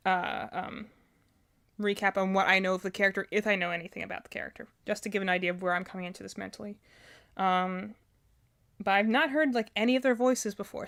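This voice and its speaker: A woman's voice: mid-range voice, fairly bright tone, clean tone. A woman hosting a livestream in a casual, rambling way, thinking aloud and often correcting herself as she goes. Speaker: livestream host.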